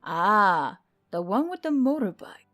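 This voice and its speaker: A woman voicing a skeptical old woman with a measured, doubtful manner. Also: medium pitch, sing-song, a bit bright, soft background music. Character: skeptical, bespectacled old woman, sizing up a newcomer.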